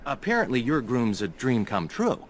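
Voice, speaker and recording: A man's voice: mid-range voice, wildly swooping pitch, normal speed, a little hiss or hum. An actor playing a young male elf groom in a fantasy game, wry and good-humoured. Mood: wry teasing. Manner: wry, teasing.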